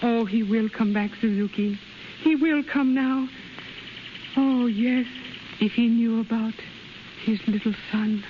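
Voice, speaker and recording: An actress in a 1930s radio drama playing a faithful, hopeful young wife, speaking earnest broken English. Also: female voice, on the high side, takes it slowly, pauses often. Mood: yearning. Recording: muffled hissy 1930s radio broadcast.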